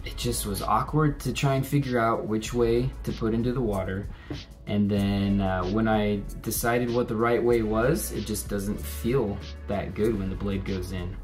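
Lower-voiced man reviewing gear on camera. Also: steady tone, soft background music. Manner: measured, explanatory. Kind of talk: product review talk to camera. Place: indoor room with curtains and a plant.

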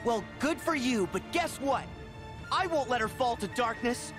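A man voicing an earnest, stubborn teenage boy hero in a video game, plainspoken and quick to take offence. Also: very high voice, animated, over music. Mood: defiant. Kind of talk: video game cutscene acting.

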